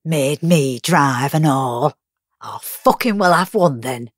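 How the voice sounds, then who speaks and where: A woman's voice: low-pitched, lively intonation, slow, no room sound, heavily acted. A woman playing a guarded, weary working-class mother in an audiobook, dry and colloquial. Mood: grumbling. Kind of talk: full-cast audiobook character acting.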